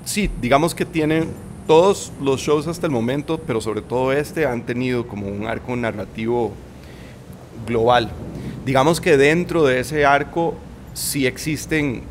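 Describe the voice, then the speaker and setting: A man's voice: medium pitch, a little slow, a little hiss or hum. Reflective Costa Rican man, hesitant. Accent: Costa Rican Spanish. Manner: thoughtful, hesitant, many restarts and fillers. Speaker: guest. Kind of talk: casual interview conversation. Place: shaded outdoor veranda. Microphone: studio mic on boom arm.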